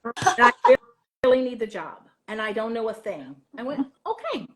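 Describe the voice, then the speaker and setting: A woman's voice: a little high, lively intonation, normal speed, dark tone. A woman drama teacher chatting casually in an interview, giving practical advice in an encouraging way and repeating words for emphasis.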